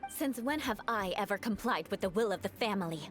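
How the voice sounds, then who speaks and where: A woman voicing a proud young woman knight, stern and cool in a measured way. Her voice sits at a middle pitch, and faint game music plays behind it. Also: expressive, lightly acted.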